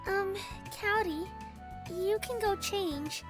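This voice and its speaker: A sweet young anime game heroine, hesitant and soft. Her voice is extremely high and gently puzzled, sitting under clear music. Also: slow pace. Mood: gently bemused.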